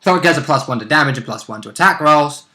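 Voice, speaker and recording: A man's voice: fairly high pitch, expressive, fast, sharp tone. A man co-hosting a tabletop role-play recap in casual, joking, sweary chat.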